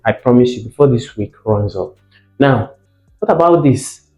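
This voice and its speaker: A man's voice: mid-range voice, animated, moderate pace, warm tone. A man teaching a lesson, explaining didactically, asking questions and repeating key words for emphasis. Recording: muffled, echoey room with faint music behind.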